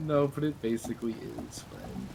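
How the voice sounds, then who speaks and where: A man's voice: mid-range voice, huge pitch swings, normal speed, a loud crowd. A man talking casually over game voice chat, teasing and swearing at the other players. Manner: profane, teasing. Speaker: online roleplay game player. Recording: clearly noisy game voice chat.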